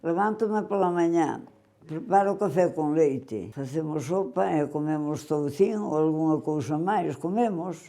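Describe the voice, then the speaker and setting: An older man, calmly content with his life at home. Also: on the high side, unhurried, faint music behind. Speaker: documentary interviewee.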